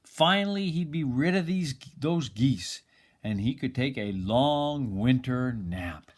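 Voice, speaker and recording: An older man reading a children's picture book aloud expressively, like a grandfather, with dramatic pauses. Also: medium pitch, expressive.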